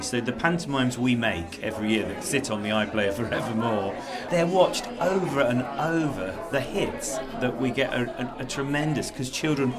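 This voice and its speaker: Enthusiastic, rambling man over prominent music. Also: medium pitch. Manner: enthusiastic, rambling, self-deprecating. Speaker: children's TV presenter and director, interviewed. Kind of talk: casual interview chat.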